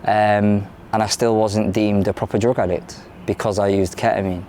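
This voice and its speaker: A man in his thirties with an English accent from London, born in Ireland, speaking frankly in a candid interview, often pausing and restarting his sentences. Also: fairly low pitch, flat delivery, warm tone, a little hiss or hum. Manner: frank and plain-spoken, with frequent hesitations and restarts. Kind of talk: candid personal testimony.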